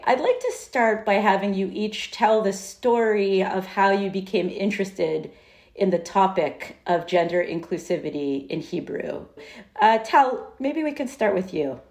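A woman's voice: medium pitch, expressive, measured pace, a little mellow. A woman interviewing guests, asking questions in a friendly, inviting way.

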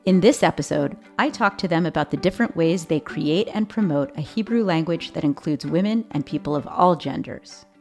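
Low-voiced woman narrating a podcast. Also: brisk, hardly any pauses, darkish tone, soft background music. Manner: clear, explanatory delivery with light rhetorical questions. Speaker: podcast host.

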